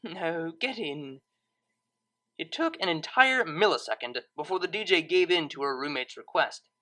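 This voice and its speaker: Bright, animated female story narrator. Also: sits low, brisk. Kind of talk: expressive scripted narration.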